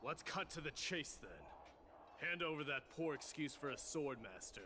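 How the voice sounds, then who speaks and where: An actor playing a proud, grim immortal warrior prince in a video game, haughty, curt and menacing. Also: male voice, on the high side, lively intonation, normal speed, noisy background, lightly acted. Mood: scornful, cold. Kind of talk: dramatic video game voice acting.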